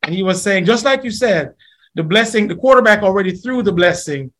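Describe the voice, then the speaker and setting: A middle-aged man with a Jamaican accent hosting a podcast interview, chatty and quick to laugh. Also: high-pitched, animated. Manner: warm, laughs often, repeats phrases. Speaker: podcast host. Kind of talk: casual interview chat.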